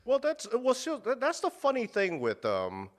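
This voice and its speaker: A man's voice: extremely high pitch, wildly swooping pitch, moderate pace. A man talking casually as an interview guest, rambling with many fillers and restarts and cursing bluntly. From United States. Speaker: guest, meat purveyor.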